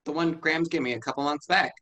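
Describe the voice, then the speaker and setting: Guy reading a short-spoken defiant farm kid, very bright sharp voice. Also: on the high side, steady tone, fast talker, dry recording. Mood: sullen. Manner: terse, defiant. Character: sullen defiant teenage farm son. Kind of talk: staged play reading.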